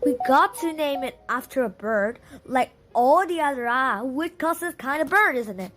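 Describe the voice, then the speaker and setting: A woman's voice: high voice, lively intonation, moderate pace, fairly bright tone, slight background noise, pitched above the performer's own voice. A woman reading the part of an eager, earnest young island boy in a storybook, plain-spoken and persuading. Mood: eager, thoughtful.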